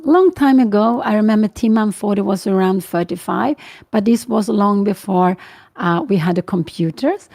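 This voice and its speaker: A woman's voice: mid-range voice, vocal fry, a little mellow. A woman in her sixties with a Swedish accent, giving live chess commentary and answering questions, thinking aloud with many fillers and self-corrections. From Sweden. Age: 60s.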